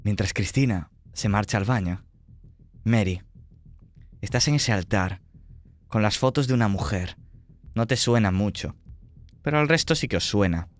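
Low-voiced Spanish man narrating a game. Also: normal speed, pauses often. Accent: Spanish from Spain. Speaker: game master. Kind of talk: suspenseful tabletop game narration.

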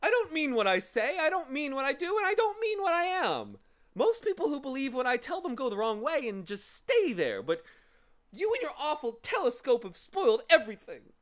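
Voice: medium pitch, very animated, slow, fairly bright tone, pitched above the performer's own voice. A narrator voicing a small furry demon from a storybook, theatrical and insincere in manner. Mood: sobbing, wounded. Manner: theatrical, boastful listing, turning to sobbing. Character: small furry demon of insincerity, boastful, gleeful, then whimpering.

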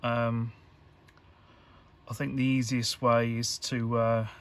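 A man giving an informal hands-on tutorial, talking in a halting, hesitant way as he thinks aloud. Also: mid-range voice, flat delivery. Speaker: electronics hobbyist presenter.

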